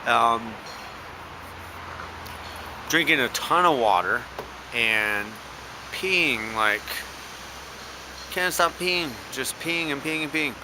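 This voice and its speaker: A man's voice: medium pitch, lively intonation, slow pace, pauses often. A man from Canada with a stuffed-up cold, rambling hesitantly with many fillers in a casual video diary. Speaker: vlogger. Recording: echoey room with loud background noise.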